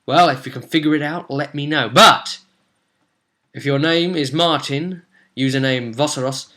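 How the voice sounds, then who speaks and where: A young man giving a casual, halting, animated storytelling recap of his tabletop roleplay campaign to camera. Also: on the high side. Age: young adult. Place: small room at home, indoors.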